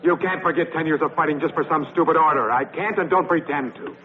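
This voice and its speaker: Earnest, higher-voiced actor as a young-spirited warrior. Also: male voice, fast, natural voice. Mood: restless, indignant. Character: loyal, earnest Greek warrior, impatient for battle. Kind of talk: dubbed historical epic film acting. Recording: tinny old film soundtrack, crowd behind.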